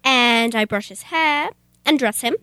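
Bright, high-voiced dutiful housemaid, acted by a young woman. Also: unhurried, no room sound. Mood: matter-of-fact. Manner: obedient, matter-of-fact. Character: dutiful, simple housemaid who lives in a closet. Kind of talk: amateur old-time radio theater acting.

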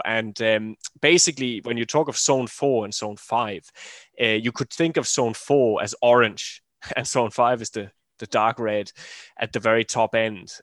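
A man who is a running coach, slightly hoarse, explaining training as a podcast guest and often restarting his phrases. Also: medium pitch, a bit bright, no echo.

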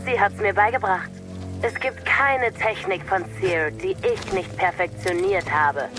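A cold, arrogant woman villain played by an actress in a sci-fi radio play. She taunts her opponent with condescending disdain, and music runs clearly behind her voice. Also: mid-range voice, a little slow, close to an everyday voice. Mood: gloating. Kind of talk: German audio drama villain acting.

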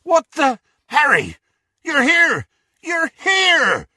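Huge bearded giant for an audiobook, gruff n hearty, husky, pitch jumps up high. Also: male voice, big pitch swings, a little slow, sharp tone, no room sound, studio quality, heavily acted. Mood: joyful surprise. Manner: bellowing, panting, gruff, colloquial. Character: gigantic bearded man, hearty, loyal, rough-spoken.

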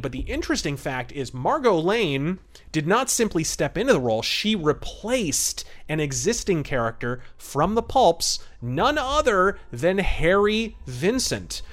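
A man's voice: a little high, a bit bright. A man hosting a podcast, giving enthusiastic, wordy and emphatic review commentary. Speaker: podcast host.

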